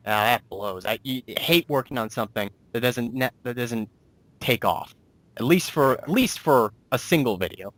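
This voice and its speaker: A man speaks in a mid-pitched voice, recorded close and dry. He hosts a casual interview, chatting with easy enthusiasm and quick interjections. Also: expressive, fairly bright tone, no room sound. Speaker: interviewer, host.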